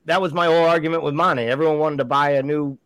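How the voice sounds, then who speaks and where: A man arguing his case in a casual sports chat, pushing hard and asking rhetorical questions. His voice sits a little high for a man and sounds dry and close, with no room around it. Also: steady tone, fast, a bit bright, no echo. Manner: emphatic, argumentative, rhetorical questions. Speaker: podcast co-host.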